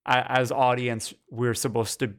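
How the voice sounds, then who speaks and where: A man's voice: mid-range voice, level tone, a little slow. A man co-hosting a casual film podcast, analytical and fond of rhetorical questions. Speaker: podcast co-host.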